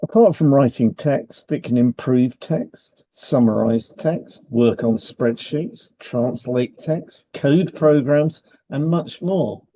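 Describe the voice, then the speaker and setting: A man's voice: medium pitch, takes it slowly, few pauses, mellow, slight background noise. A man co-hosting a podcast, reading explanatory material with chatty asides. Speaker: podcast co-host, hypnotherapist. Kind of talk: scripted podcast narration with informal asides. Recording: slightly dull, lo-fi sound.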